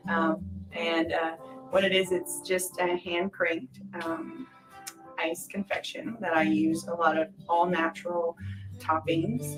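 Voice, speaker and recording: Lower-voiced woman telling her personal story. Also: far from the mic, poor audio. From Hawaii, island of Kauai. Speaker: shaved-ice business owner. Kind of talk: personal storytelling in a documentary interview.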